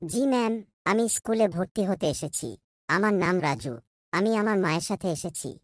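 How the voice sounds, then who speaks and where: A woman's voice: mid-range voice, lively intonation, brisk, no echo, studio quality, lightly acted. A woman voicing a polite, earnest young schoolboy in a cartoon. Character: polite, eager young schoolboy from poor family. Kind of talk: cartoon dialogue.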